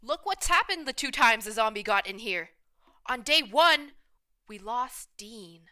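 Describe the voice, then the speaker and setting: Woman playing a bossy, very bright-voiced manager. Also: fairly high pitch, lively intonation, a little slow, full character voice. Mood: argumentative. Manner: blunt, assertive. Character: bossy, hard-nosed woman restaurant manager. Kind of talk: radio play acting.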